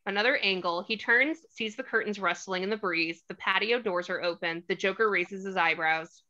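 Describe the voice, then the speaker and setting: A woman's voice: medium pitch, moderate pace, sharp tone, dull audio. A woman reading stage directions as scripted narration at a table read.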